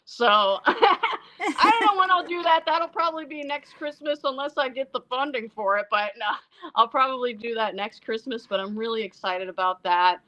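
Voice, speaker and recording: A woman from Iceland talking casually as an interview guest about her film projects, rambling and animated, acting out quotes as she goes. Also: sits high, normal speed. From born in Iceland, Icelandic mother and American father. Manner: animated, rambling storyteller who acts out quotes. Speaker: interview guest, independent filmmaker and actress.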